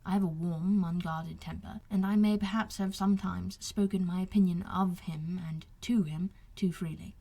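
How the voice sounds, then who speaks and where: A woman voicing a wronged young gentleman officer in an audiobook, talking in a formal, earnest, confiding way. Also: on the low side, steady tone, moderate pace, creaky, few pauses, breathy edge. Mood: aggrieved. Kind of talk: audiobook character dialogue.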